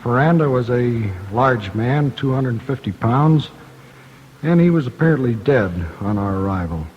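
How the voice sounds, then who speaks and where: An American man giving a formal police report as a detective, halting and matter-of-fact. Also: medium pitch, animated. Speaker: homicide detective. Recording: muffled old radio, faint noise.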